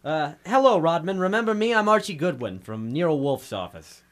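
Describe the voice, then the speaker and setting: A man's voice: a little high, sing-song, fairly quick, heavy background noise. An actor playing a brash, wisecracking detective's legman and narrator, slangy and wry, in old radio drama style. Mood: insistent. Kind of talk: 1950s radio drama acting.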